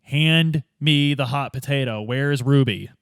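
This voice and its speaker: A man's voice: mid-range voice, a little slow, fairly bright tone, clean tone, dry recording, studio quality. A man playing a tabletop roleplaying game, talking casually and slipping in and out of character.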